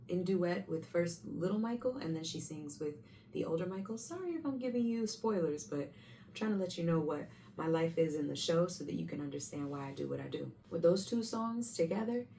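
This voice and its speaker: A woman's voice: low-pitched, expressive, moderate pace, gravelly, warm tone. A young woman, a stage performer, chatting in a rambling, self-correcting way as she shares her routine in a casual vlog. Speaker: Broadway performer sharing tips. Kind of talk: casual vlog talk to camera. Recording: faint background hum, mic at a distance.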